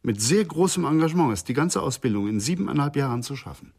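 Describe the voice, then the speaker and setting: A man's voice: on the high side, expressive, fast talker, gravelly, darkish tone, slightly rough, full character voice. An actor playing an earnest psychoanalyst in a German radio crime drama, speaking formally and precisely, with reverence for his profession. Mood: earnest, reverent.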